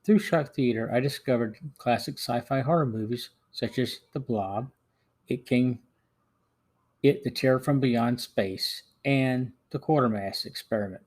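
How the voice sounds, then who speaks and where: Husky man reading a podcast review. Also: medium pitch, unhurried, a little mellow. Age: middle-aged or older. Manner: reads from a script, stumbling occasionally. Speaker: podcast host. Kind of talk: scripted podcast film review.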